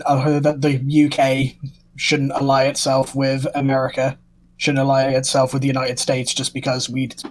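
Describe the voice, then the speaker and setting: A man's voice: mid-range voice, rough recording. A man, talking casually as part of a discussion panel.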